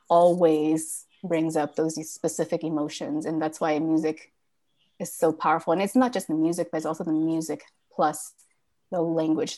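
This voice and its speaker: Reflective woman, lower voice. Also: dark tone. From born in Thailand. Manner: reflective, many fillers and restarts. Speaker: guest. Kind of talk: casual conversation.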